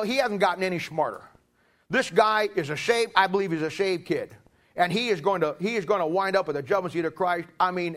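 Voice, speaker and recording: A man's voice: high-pitched, fairly bright tone. A middle-aged man preaching a sermon with anecdotes in a folksy, emphatic way, often repeating phrases for weight.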